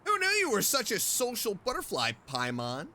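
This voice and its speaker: A woman's voice: mid-range voice, wildly swooping pitch, normal speed, heavy background noise. A woman streamer doing casual, playful commentary on a game playthrough, reacting and reading dialogue aloud expressively. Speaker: streamer, VTuber.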